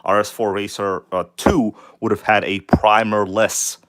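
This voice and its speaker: A man hosting a casual live stream, his voice hoarse from a cold, hyped and greeting viewers by name. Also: on the low side, level tone, unhurried, a bit bright. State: hoarse from a cold, nearly lost voice. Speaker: channel host. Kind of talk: live stream chat about RC cars.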